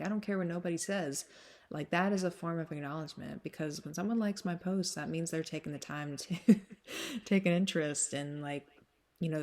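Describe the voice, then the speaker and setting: A woman with a smoky, slightly hoarse voice, still getting over a cold, chatting casually to her followers with lots of fillers and frequent laughs. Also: sits low, a little mellow. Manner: chatty, full of fillers, laughs often, drifts back and forth. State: recovering from a cold, sinus congestion. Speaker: vlogger. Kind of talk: casual rambling chat to followers.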